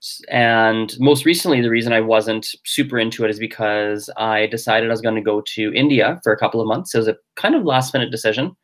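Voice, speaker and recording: A man's voice: lowish voice, steady tone, fairly quick, few pauses. A young adult man whose first language is Gujarati, chatting as a guest in a casual interview, thinking aloud with lots of fillers. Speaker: guest language teacher and podcaster.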